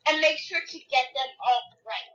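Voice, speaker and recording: A woman's voice: sits high, moderate pace, a bit bright, pitched below the performer's own voice. A young girl playing a spoiled, demanding, whiny princess in a game roleplay, on a muffled, distant mic. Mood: bossy. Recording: muffled, distant home microphone.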